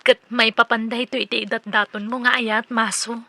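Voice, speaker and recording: Lady playing friendly coy young ilocano girlfriend type in radio drama, high bright voice. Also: little pitch movement, moderate pace, no echo.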